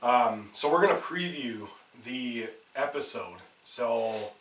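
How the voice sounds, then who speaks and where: A man's voice: mid-range voice, lively intonation, slow pace, a bit bright, echoey room, distant mic, poor audio. A man co-hosting a casual horror podcast, talking with a nasal stuffiness from a cold and swearing freely between restarts. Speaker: podcast co-host. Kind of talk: casual chat.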